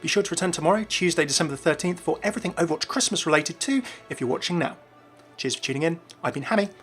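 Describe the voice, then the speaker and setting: A man narrates scripted game commentary. His voice is a little high for a man and moves quickly. Faint music plays behind the voice-over. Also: expressive. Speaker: YouTube game lore presenter.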